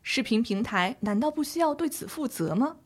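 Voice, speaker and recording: A woman's voice: a little high, expressive, normal speed, breathy edge. A woman playing a concerned granddaughter asking for advice, in a conversational scripted radio drama. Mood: indignant. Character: worried granddaughter seeking legal advice for grandfather.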